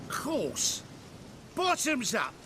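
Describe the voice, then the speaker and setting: An actor playing a cowardly, tipsy fire-eater jester who stammers nervously. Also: male voice, extremely high pitch, very slow, full character voice. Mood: wary. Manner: jittery, stammering, fearful. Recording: game audio with steady hiss.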